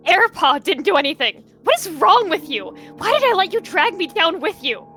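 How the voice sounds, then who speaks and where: A woman voicing a distraught, furious female warrior cat who shouts in desperation and breaks into cries. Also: extremely high pitch, big pitch swings, normal speed, faint music behind, voice pushed up, exaggerated voice. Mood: anguished. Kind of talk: voice-acted roleplay dialogue.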